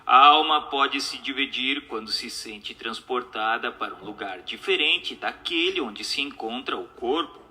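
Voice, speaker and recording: A man with a Brazilian accent giving a formal, measured scripted narration of a spiritual text. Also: medium pitch, pushed and bright. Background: music.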